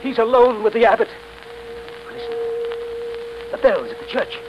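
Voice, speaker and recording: A man's voice: very high voice, wildly swooping pitch, moderate pace, heavily acted. An actor playing a jittery, frightened man in a 1930s radio drama, nervous and pleading, stammering as he talks. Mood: anxious urgency. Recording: noisy, muffled 1930s radio recording.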